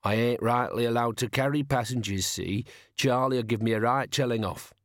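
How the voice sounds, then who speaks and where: A man voicing a nervous, shifty male cart driver who babbles in a high-pitched wheeze. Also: normal speed, dry recording, studio quality, close to an everyday voice. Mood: wary.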